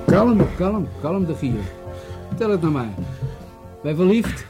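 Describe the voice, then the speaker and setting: An actor playing a patient, wry police commissioner with a husky voice, questioning his men in a radio play. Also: high voice, unhurried, music in the background. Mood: amused, patient. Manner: questioning, teasing, calmly authoritative.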